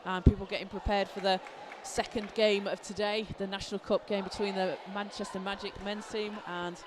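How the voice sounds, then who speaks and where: A man, hoarse from a cold, giving excited live play-by-play basketball commentary in an indoor arena. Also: high voice, brisk, crowd noise. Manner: excited, shouting calls on big plays. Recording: clear arena noise behind.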